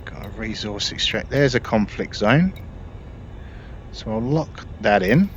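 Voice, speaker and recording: Low-voiced man doing casual gameplay commentary. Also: lively intonation, a little slow. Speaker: gaming video creator. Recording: dull audio, faint game noise. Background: spaceship game ambience.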